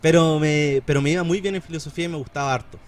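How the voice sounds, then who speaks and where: A man's voice: a little high, racing. Chilean man chatting casually on a podcast. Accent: Chilean Spanish. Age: 30s. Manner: relaxed and chatty, with frequent fillers and slang. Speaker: podcast guest. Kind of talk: casual conversation.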